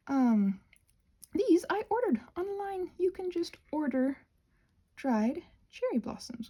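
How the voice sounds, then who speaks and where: A woman hosting a craft channel in a casual ramble, playful and whimsical, drifting onto tangents and talking to herself, with a slightly husky voice. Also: high voice, dark tone. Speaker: host of a craft and ASMR channel.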